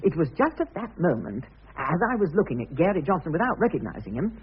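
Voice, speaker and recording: An actor in a comic radio drama playing a timid, rambling bank clerk who stammers politely and apologetically. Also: male voice, fairly high pitch, very animated, fast talker, a little hiss or hum. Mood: rambling, earnest. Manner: stammering, long-winded, polite. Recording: muffled lo-fi radio recording, slightly rough.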